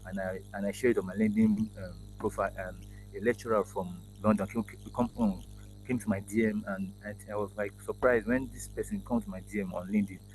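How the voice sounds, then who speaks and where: Halting man on a noisy call. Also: on the low side, steady tone. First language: Yoruba. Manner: halting, many restarts and fillers. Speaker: AI engineer guest. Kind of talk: casual interview over video call. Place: indoor office room. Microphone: video call laptop mic. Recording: noisy video call audio.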